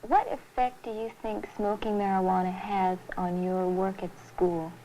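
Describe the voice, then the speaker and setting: A woman's voice: mid-range voice, steady tone, measured pace, warm tone, muffled recording. A woman interviewer asking plain, direct questions in a neutral manner for a documentary. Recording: 1970s film interview, faint noise.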